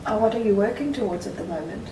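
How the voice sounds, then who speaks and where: A woman's voice: medium pitch, expressive, fast, warm tone. A woman interviewing an artist, asking questions in a playful, breezy way. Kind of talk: casual interview. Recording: echoey studio, distant mic, noisy.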